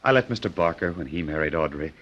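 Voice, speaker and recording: A man's voice: sits low, animated, quick pace, a bit bright, muffled recording. An actor playing an earnest, shocked male secretary in melodramatic old-time radio style. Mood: horrified, earnest.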